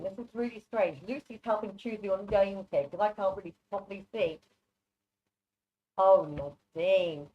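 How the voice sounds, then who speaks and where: Muffled woman with mixed English-Norwegian accent. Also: fairly low pitch. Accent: mixed English with Norwegian influence. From born in England, grew up largely in Norway. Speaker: psychic card reader, guest presenter. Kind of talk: informal live spiritual readings. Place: online video call. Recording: muffled, soft online microphone.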